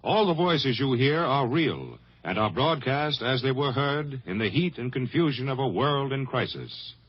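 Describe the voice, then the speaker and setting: A man's voice: mid-range voice, lively intonation, moderate pace, slightly rough. An American man announcing a 1950s radio program in a solemn, declamatory, dramatic style. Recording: dull vintage 1950s radio broadcast. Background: music.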